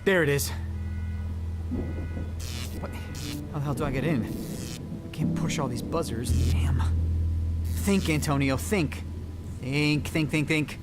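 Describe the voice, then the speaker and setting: A man's voice: a little high, a little slow, pauses often, loud music behind the voice, natural voice. A man playing a frantic, pleading ventriloquist in an audio drama. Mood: muttering, frustrated. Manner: agitated, pleading, exclamatory. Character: frantic, desperate male ventriloquist searching for a missing woman.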